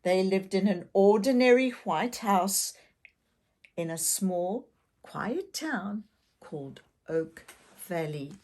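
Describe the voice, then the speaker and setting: Slow, expressive older woman reading aloud. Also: mid-range voice. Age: older, grandmother. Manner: gentle, deliberate, addresses children with questions. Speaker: children's storyteller. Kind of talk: expressive read-aloud narration with asides to young listeners.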